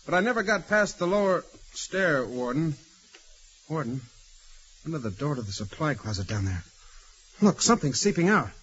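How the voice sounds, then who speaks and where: A man's voice: on the high side, very animated, moderate pace, pauses often, fairly bright tone. A man playing a dutiful, alert prison guard sergeant reporting in clipped fashion in a 1940s radio drama. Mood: tense, alarmed. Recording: muffled hissy old radio recording.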